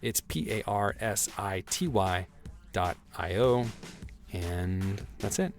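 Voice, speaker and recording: A hoarse man hosting a solo podcast, talking in an earnest, motivational way and often adding "right?" to his points. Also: sits low, takes it slowly, soft background music. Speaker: podcast host.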